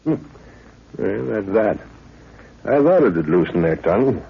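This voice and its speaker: A man acting a big, gruff, blustering middle-aged professor in a 1930s radio adventure. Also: lowish voice, big pitch swings, measured pace, full character voice. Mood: dry satisfaction. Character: huge, gruff, hot-tempered older professor leading a jungle expedition. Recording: muffled old 1930s radio recording.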